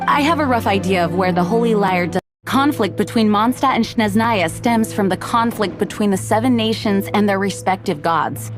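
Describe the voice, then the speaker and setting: A dutiful female knight commander from an anime game, played by a woman. Her mid-pitched voice is measured, formal and earnest, with music clearly playing behind it. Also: close to an everyday voice. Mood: composed. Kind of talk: anime-style video game acting.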